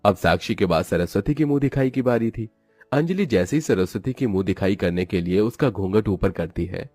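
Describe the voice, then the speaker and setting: A man's voice: on the low side, animated, fairly quick, a little mellow, no echo, soft background music. A man narrating a cartoon moral story from a script.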